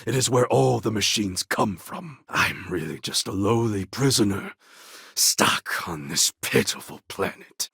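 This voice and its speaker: An actor playing a proud, warlike clone of a Mongol conqueror in a video game, with a gravelly, husky voice and a deliberate, grandly formal delivery. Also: male voice, low voice, pushed and bright, no room sound, studio quality, exaggerated voice. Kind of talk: video game character acting.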